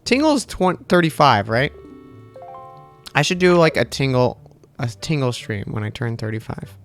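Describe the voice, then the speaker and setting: A man's voice: mid-range voice, lively intonation, normal speed, faint music behind. A man in his thirties casually chatting and joking with his chat while streaming a game. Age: 30s. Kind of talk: casual live-stream commentary over gameplay.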